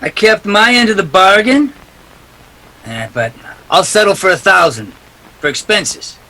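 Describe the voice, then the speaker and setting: An actor playing a tough, cynical private detective with a clipped, sardonic delivery in a detective radio play. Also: male voice, high-pitched, lively intonation, measured pace, sharp tone, heavily acted, poor audio. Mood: dry, businesslike.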